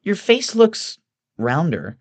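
Guy doing a whiny vain rich young woman for an audiobook, pitched way up, sighing and exasperated. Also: huge pitch swings, slow, heavily acted. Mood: airy, needling. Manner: breathy sighing whine, exasperated. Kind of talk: audiobook character voice.

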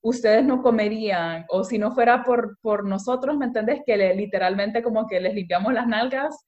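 A young woman with a Honduran Spanish accent, talking in a chatty, animated way and often checking that she is understood. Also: on the high side, moderate pace, muffled recording. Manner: chatty, animated, frequent "me entendés" tags. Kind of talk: casual conversation on social issues.

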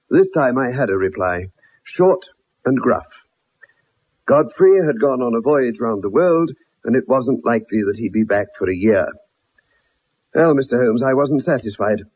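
An actor playing an earnest, persistent ex-soldier in a radio drama, polite but insistent as a troubled, loyal friend. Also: male voice, mid-range voice, slightly rough. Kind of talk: 1960s radio drama acting, first-person narration. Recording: muffled old radio broadcast recording.

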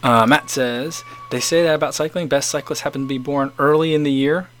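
A man's voice: fairly high pitch, fairly even intonation, brisk, a bit bright, slight background noise. A man in his forties casually chatting as host, reading out viewer comments and rambling with many restarts. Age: 40s.

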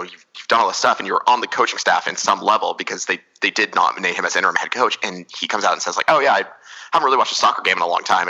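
Male podcast host, very low pitch and sharp tone, chatty soccer breakdown. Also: rough recording. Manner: rambling, analytical, many restarts. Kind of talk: casual soccer analysis chat.

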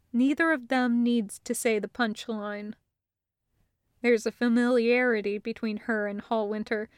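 A woman reading a story as scripted narration, with measured, deliberate phrasing. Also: fairly high pitch, clear, resonant tone, no room sound, studio quality. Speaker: audiobook-style fiction reader.

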